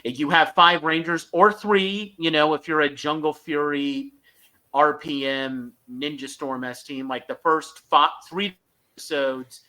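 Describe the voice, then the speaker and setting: A man's voice: fairly high pitch, fairly even intonation, measured pace, bright, forceful tone. A man co-hosting a podcast, giving an enthusiastic, opinionated fan review in a casual discussion.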